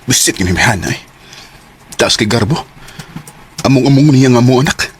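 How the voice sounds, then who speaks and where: A man's voice: medium pitch, lively intonation, normal speed, a little hiss or hum, natural voice. A man acting an earnest, hesitant suitor and troubled father in a Cebuano radio drama. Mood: troubled, apologetic.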